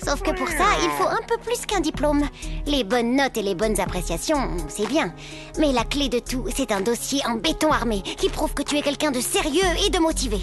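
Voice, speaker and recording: A high, youthful schoolgirl voice for a cartoon. She is chatty, earnest and self-dramatizing, stressing her points in casual speech. Music plays clearly behind her. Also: animated, normal speed. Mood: earnest, insistent. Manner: talkative, emphatic, colloquial. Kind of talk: animated series voice acting.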